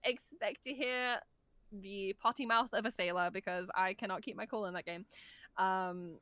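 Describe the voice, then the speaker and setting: A woman's voice: medium pitch, steady tone, normal speed, a bit bright, clear, resonant tone, dry recording. A woman chatting casually and effusively as a podcast guest, with a giggly, talkative manner. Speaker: guest voice actor.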